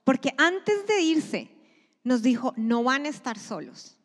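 Woman preacher, higher voice, emphatic with sudden hushes, long church reverb. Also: sing-song, takes it slowly. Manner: emphatic, swells loud then drops to a hush. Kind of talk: sermon. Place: church sanctuary.

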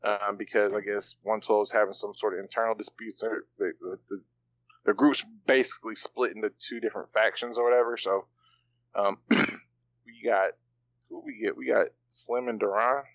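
A man co-hosting a casual podcast, rambling along with fillers and slang. His voice sits a bit low. The recording is muffled and lo-fi. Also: steady tone, moderate pace, a bit bright. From part Bahamian. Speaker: podcast co-host. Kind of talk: casual chat.

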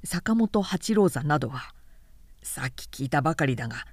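Woman voicing an insistent, indignant samurai man, pitched low. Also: lively intonation, normal speed, slightly rough, no room sound, studio quality. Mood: bitterly indignant. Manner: forceful, insistent, formal rhetorical questioning. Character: outspoken, indignant samurai man pressing hard accusations. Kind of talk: audiobook period-novel dialogue.

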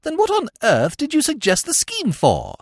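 A man voicing an excitable, dithering young upper-class English gentleman in an audiobook, flustered and rambling in old-fashioned slang. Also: very high voice, normal speed, no echo, clean studio sound, pitched above the performer's own voice, heavily acted. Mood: astonished. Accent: British upper-class.

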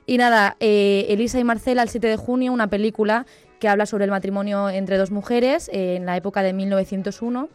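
A woman's voice: medium pitch, steady tone, fairly quick, clean tone, soft background music. A woman with an accent from Spain, presenting series in a casual, colloquial, self-deprecating way. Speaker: series reviewer on a show.

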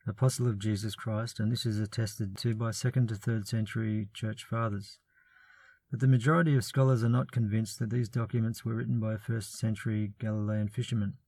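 A man with a mid-range voice gives a measured, scripted talk on biblical scholarship, reasoning step by step with a lightly wry edge. He is recorded close up in a dry, dead room with no echo. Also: mid-range voice, gravelly, warm tone, slightly rough. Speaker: biblical studies presenter.

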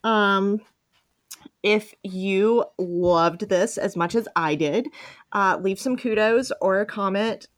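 A woman's voice: medium pitch, slow pace, no echo. Dry-sounding woman hosting a casual podcast. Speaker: podcast host.

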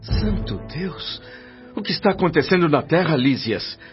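An actor playing an earnest, astonished newcomer to the spirit world, speaking and narrating expressively in a radio drama. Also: male voice, a little high, expressive, normal speed, lightly acted. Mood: shocked, distressed. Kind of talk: radio drama dialogue and first-person narration. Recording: slightly dull band-limited sound with music. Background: music.

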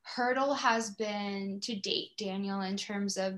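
A woman's voice: mid-range voice, fairly even intonation, slow pace, fairly bright tone. Woman hosting a conversational interview, effusive. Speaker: podcast host and interviewer.

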